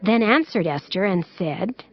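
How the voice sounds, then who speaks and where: A woman's voice: medium pitch, animated, unhurried, lo-fi sound. A woman reading scripted scripture narration for an audiobook. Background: faint music.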